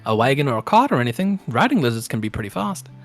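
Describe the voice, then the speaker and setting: A man's voice: medium pitch, very animated, quick pace, fairly bright tone, faint music behind. A man voicing a helpful, chatty male tavern keeper in a fantasy tabletop game, conversational and matter-of-fact.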